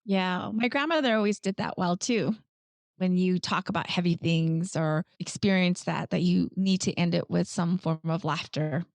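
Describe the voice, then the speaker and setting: Casting: friendly female podcast host, lowish voice, dry close recording. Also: moderate pace, gravelly, a little mellow, dry recording. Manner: friendly, laughs easily, affirming. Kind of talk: casual interview chat.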